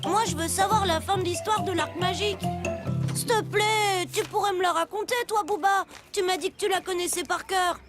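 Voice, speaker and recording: A woman voicing an impatient, curious and excitable young child in a cartoon, eager and full of exclamations. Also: very high-pitched, normal speed. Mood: pleading, impatient. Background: music.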